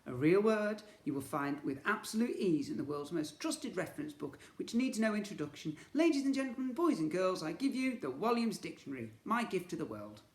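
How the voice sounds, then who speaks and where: Male storyteller for young children, expressive and theatrical, recorded in a roomy, echoing space. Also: high voice, fairly quick, few pauses. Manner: theatrical, expressive, with emphatic sound words. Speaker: teacher reading a story to children. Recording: echoey room.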